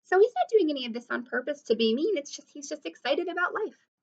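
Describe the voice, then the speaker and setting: A woman teacher reading a picture book aloud to children, pausing to explain and ask questions. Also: high-pitched, big pitch swings, highs cut off. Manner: explanatory, asks listeners questions. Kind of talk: read-aloud storytelling with asides to children.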